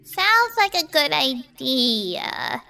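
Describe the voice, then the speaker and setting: A woman's voice: high-pitched, sing-song, extremely slow, gravelly, bright, forceful tone, heavily acted. A woman voicing a plucky young female adventurer in a tabletop roleplay, with a casual, offhand manner. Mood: puzzled.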